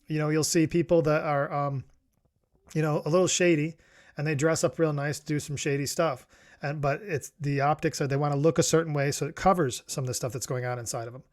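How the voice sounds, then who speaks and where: A man's voice: a little high, steady tone, fairly quick. A middle-aged man from New York giving a reflective solo talk as a podcast host, rambling with many restarts and fillers. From New York, USA.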